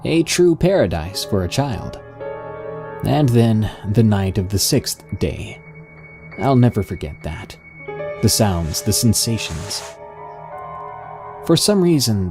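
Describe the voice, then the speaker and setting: A man reading a first-person story in an even, measured storytelling style. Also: fairly low pitch. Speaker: story narrator. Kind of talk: scripted first-person narration. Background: music.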